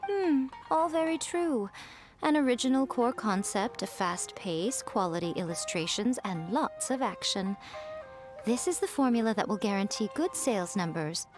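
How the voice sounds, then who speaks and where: A woman voicing a sly, teasing fox-spirit woman who runs a publishing house, talking in a smooth, amused, unhurried way, with faint music behind. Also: a little high, expressive, lightly acted. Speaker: editor-in-chief guiding a writer. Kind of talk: video game voice acting. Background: faint game music.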